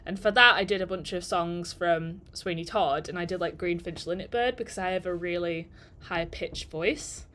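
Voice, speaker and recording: A woman's voice: lowish voice, moderate pace, fairly bright tone, slight background noise. Rambling chatty woman. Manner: chatty and rambling, sidetracks often, laughs between thoughts. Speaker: digital marketer vlogger. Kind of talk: casual storytelling during a live work stream.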